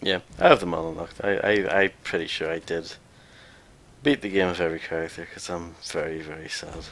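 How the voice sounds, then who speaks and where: A man's voice: deep voice, brisk, fairly bright tone. A man hosting a gameplay video, talking casually as he plays. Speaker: video host playing a game.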